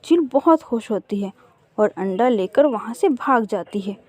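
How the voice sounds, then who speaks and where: A woman's voice: medium pitch, lively intonation, a little slow, a little mellow, no room sound. A woman narrating a children's cartoon story as a storyteller.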